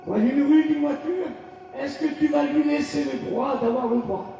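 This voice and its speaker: Male pastor from France, high mellow voice, fiery preaching, distant echoey lo-fi hall recording. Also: moderate pace, creaky. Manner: preaching with shouted bursts and call-and-response. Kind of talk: fiery revival sermon.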